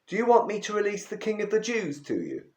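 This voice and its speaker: A man's voice: high voice, huge pitch swings, fast talker, a little mellow, voice pushed up. A man reading as a questioning Roman governor. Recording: roomy echo.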